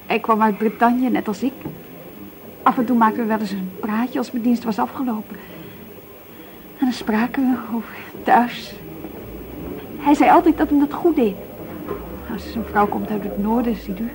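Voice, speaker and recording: An actress playing an earnest, loyal waitress who speaks plainly and a little hesitantly in a radio drama. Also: female voice, fairly high pitch, moderate pace, long pauses, a little mellow, lightly acted, poor audio. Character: earnest, loyal waitress defending an absent friend. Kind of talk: naturalistic radio drama dialogue. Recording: busy crowd noise around the voice. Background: café chatter.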